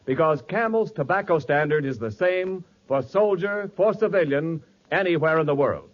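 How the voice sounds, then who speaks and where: High, animated American male radio announcer. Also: moderate pace. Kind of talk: 1940s radio advertisement, scripted dramatic narration. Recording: dull 1940s radio recording.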